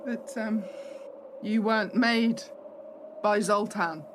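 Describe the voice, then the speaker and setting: A woman's voice: mid-range voice, slow pace, close to an everyday voice. Female actor as shaken young assistant manager, radio drama, hesitant, probing, suspicious, noisy ambient backdrop. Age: young adult. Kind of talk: radio play acting. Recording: noisy recording with background ambience.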